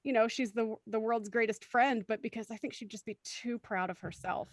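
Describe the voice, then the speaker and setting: A woman with a high-pitched voice leads a casual group chat as host. The sound is close and dry, and the delivery is playful and conversational. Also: fairly quick, no room sound. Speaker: host of a cast discussion panel. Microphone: close mic on a video call.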